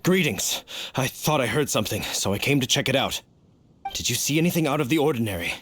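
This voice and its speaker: An actor playing an earnest, courteous young knight on guard duty in a video game. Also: male voice, medium pitch, lively intonation, quick pace, a bit bright, natural voice. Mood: inquiring. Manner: polite, earnest.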